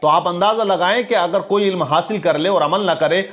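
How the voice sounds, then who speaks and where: Male commentator, high-pitched voice, preachy and condemning, opinionated religious news delivery. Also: fairly quick, a bit bright. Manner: preachy, denunciatory, quoting religious sayings. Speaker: commentator and presenter of an online channel.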